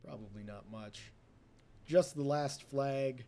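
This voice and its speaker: Young man, casual gameplay commentary, rambling, self-mocking, mellow tone, faint music behind. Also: medium pitch, slow pace. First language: English. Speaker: let's play host.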